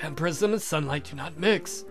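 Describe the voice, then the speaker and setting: A man's voice: fairly high pitch, normal speed, faint music behind, pitched below the performer's own voice, lightly acted. A man voicing a courtly, exasperated vampire count with dry wit and theatrical formality in a visual novel. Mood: polite.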